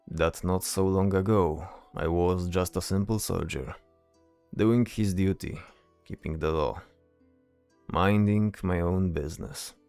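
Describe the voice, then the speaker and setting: A man's voice: low voice, vocal fry, a little mellow, exaggerated voice. A man voicing a weary, earnest, dutiful soldier in a fantasy audio drama, with a solemn and hesitant manner. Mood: brooding.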